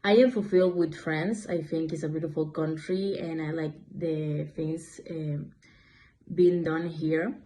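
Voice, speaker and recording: Young woman, low mellow voice. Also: fairly even intonation, measured pace. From Ecuador. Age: 20s. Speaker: business student. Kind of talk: interview answers.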